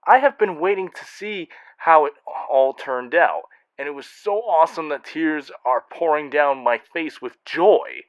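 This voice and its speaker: A man's voice: on the high side, lively intonation, measured pace, hardly any pauses, no room sound, dull audio. Wry male host with swooping, theatrical delivery. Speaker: host and narrator reading fan mail. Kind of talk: scripted comic hosting.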